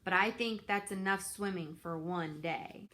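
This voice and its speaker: Woman playing a bright-voiced feisty old goose. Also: medium pitch, unhurried. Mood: breathless, reassuring. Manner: bold, motherly scolding.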